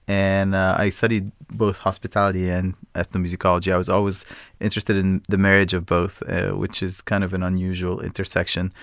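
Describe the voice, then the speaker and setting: A middle-aged man from Egypt, a guest in an interview, answering in a thoughtful, measured way with many fillers. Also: low-pitched, dry recording, studio quality. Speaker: guest, music project co-founder.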